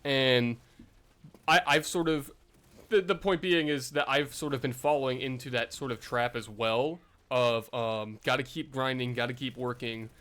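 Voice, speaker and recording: A man's voice: medium pitch, steady tone, unhurried, fairly bright tone. A young man vlogging in a casual, rambling way, full of fillers and self-deprecating asides.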